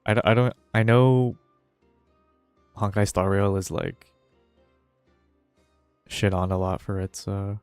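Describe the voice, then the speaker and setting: Low-voiced, laid-back man chatting on stream. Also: slow, pauses often, clean tone, dry recording. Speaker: game streamer. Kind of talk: casual livestream chat while gaming. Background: faint music.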